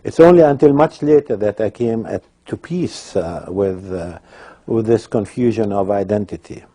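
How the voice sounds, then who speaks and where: A man's voice: lowish voice, expressive, moderate pace, warm tone. A man giving personal testimony in an emphatic manner. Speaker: interviewee telling his life story.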